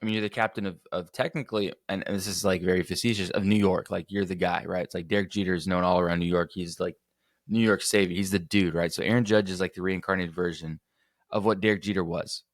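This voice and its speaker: Man, podcast host, lowish voice a bit hoarse, chatty and self-correcting, dry home recording. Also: steady tone, fast, dry recording. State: slightly hoarse. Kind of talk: casual sports podcast talk.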